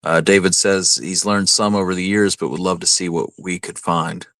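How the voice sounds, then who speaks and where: A man's voice: deep voice, steady tone, normal speed, a bit bright, no room sound. A man co-hosting a live show in casual chat, with an easygoing, folksy way of addressing listeners. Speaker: co-host reading out listener comments.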